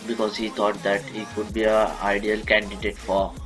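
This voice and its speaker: Halting Indian man with a low voice. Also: completely flat delivery, music in the background. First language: Marathi. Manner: halting, many restarts and corrections. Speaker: narrator. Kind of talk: retelling a fan story in plain speech.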